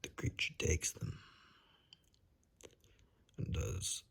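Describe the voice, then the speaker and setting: A man playing a calm, wry forest ranger in a hushed ASMR roleplay, speaking slowly in a breathy, gravelly whisper with dry understatement. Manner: slow, hushed, dryly understated.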